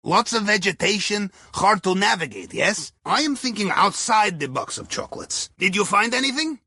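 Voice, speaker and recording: A wry, jovial Russian man planning heists in a video game. His voice is high and husky, with very animated intonation. He chats in droll, folksy broken English with a thick accent. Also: moderate pace, dry recording, clean studio sound, full character voice. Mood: wry amusement. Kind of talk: video game mission dialogue over radio.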